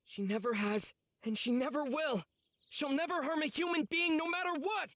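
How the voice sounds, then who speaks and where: Teen anime hero, high youthful voice, dry sound, earnest, heartfelt. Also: male voice, moderate pace, fairly bright tone, no room sound. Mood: desperate, earnest. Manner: pleading, heartfelt. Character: earnest, devoted teenage boy swordsman. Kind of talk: English anime game voice acting.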